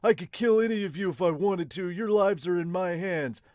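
A man's voice: high-pitched, moderate pace, darkish tone, dry recording, studio quality, voice pushed up, natural voice. A man voicing an arrogant samurai villain in an amateur manga dub, haughty and commanding as he sneers at commoners. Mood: haughty menace.

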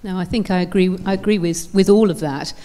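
A woman's voice: fairly low pitch, brisk, dark tone. A woman in her fifties on a conference panel, speaking thoughtfully into a handheld mic. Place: conference stage in a large hall.

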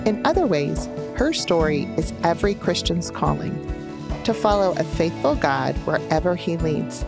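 Woman narrator, lowish lilting voice, scripted intro, clear music bed. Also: lively intonation, moderate pace.

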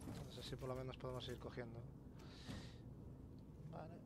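A man's voice: medium pitch, moderate pace. A man with a Spain accent giving casual, sweary gameplay commentary as host. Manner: sweary, colloquial. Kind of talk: casual let's play commentary. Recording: noisy game ambience behind voice.

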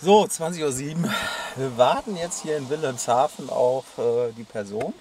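A man's voice: mid-range voice, normal speed, dark tone. A man in his thirties chatting and joking to a handheld camera on a road trip, partly in a car, partly outdoors. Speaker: vlogger. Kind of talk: casual travel vlog chat. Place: inside a car and outdoors on a parking lot. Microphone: handheld action camera. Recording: noisy car and outdoor recording. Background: car and road noise.

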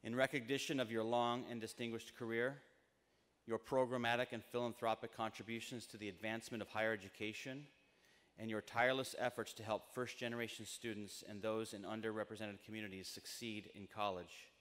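A middle-aged American man giving a formal ceremonial speech in measured, emphatic phrases at a podium microphone. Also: fairly low pitch, steady tone, fairly bright tone. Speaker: university trustee speaking at commencement. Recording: long reverberant PA in large tent.

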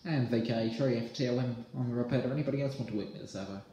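A man's voice: medium pitch, racing. Young Australian man in an echoey room. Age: young adult. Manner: chatty, uses radio jargon. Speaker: amateur radio operator. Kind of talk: casual radio chat. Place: small indoor room. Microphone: phone at arm's length. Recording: roomy echo, slight hiss.

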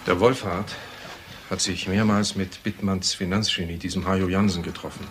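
A man's voice: deep voice, steady tone, moderate pace, a little hiss or hum, close to an everyday voice. An actor playing an authoritative police superior in a radio crime drama, pressing and insinuating as he talks. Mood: accusing. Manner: pressing, insistent.

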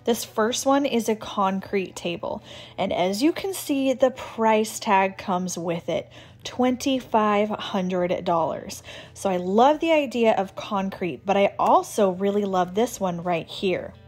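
A woman's voice: mid-range voice, takes it slowly, a little mellow. Chatty woman home renovation vlogger. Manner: chatty and enthusiastic. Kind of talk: casual vlog narration.

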